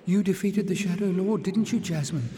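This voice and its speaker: A man voicing a timid young slave girl with a soft, breathy, sighing voice. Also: fairly low pitch, fairly even intonation, normal speed, echoey room, long reverb tail, slight background noise, voice pushed up, close to an everyday voice. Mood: eager rushing.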